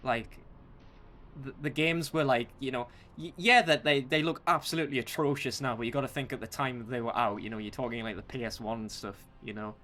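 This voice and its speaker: A man's voice: mid-range voice, brisk, sharp tone. A man co-hosting a podcast in casual chat about films and games, often repeating words and restarting as he goes. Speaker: podcast co-host.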